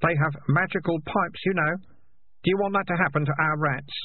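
A man's voice: on the high side, moderate pace, phone-quality sound, voice pushed up, natural voice. A man voicing a serious, determined young man urging his friends. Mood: urgent. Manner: serious, urgent.

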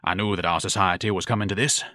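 A man's voice: sits low, steady tone, quick pace, bright, forceful tone, dry recording, clean studio sound. A man voicing a pompous, indignant eccentric who scolds in lofty, grandiloquent phrases. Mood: haughty.

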